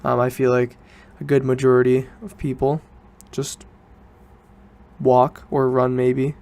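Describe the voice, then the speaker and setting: Young guy doing a casual solo podcast, pretty monotone, rambles and backtracks a bit. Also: mid-range voice, unhurried. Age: teens to young adult student. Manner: informal, rambling, self-correcting. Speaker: podcast host.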